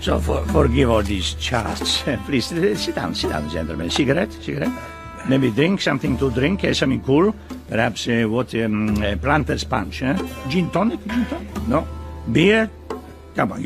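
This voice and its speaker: An actor playing a wealthy yacht-owning villain posing as a genial host in a radio drama, halting, repetitive and fussily hospitable. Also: male voice, medium pitch, big pitch swings, vocal fry, over music.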